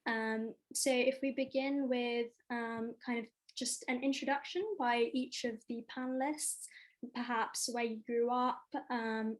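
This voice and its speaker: A woman moderating a panel discussion over a video call, with many fillers. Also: fairly high pitch.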